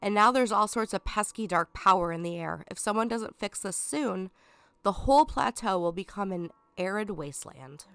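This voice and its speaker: A woman's voice: mid-range voice, lively intonation, moderate pace, fairly bright tone, smooth, no echo. A woman reading a scheming, condescending lion villain. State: stuffed up from a cold. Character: scheming, sarcastic male lion villain, self-proclaimed king.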